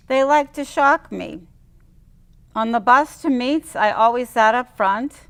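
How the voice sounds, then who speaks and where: A woman reading her own personal writing aloud and chatting about it in a reflective, unhurried way. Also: on the high side, a bit bright. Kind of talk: spoken reading of personal memoir and reflective chat.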